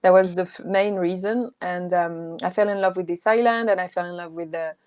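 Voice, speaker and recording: A woman's voice: lowish voice, fairly even intonation, fairly quick, clean tone, no room sound. North African woman guest, dry sound. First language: Kabyle. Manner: warm, laughs often, hesitant with fillers. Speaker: guest, co-living space manager. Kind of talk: casual interview chat.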